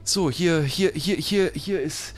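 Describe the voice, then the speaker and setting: A man's voice: fairly high pitch, slow, soft background music. A man playing a flustered, wary shopkeeper in a pirate role-play, stammering and repeating his words as he haggles. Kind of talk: improvised role-play dialogue.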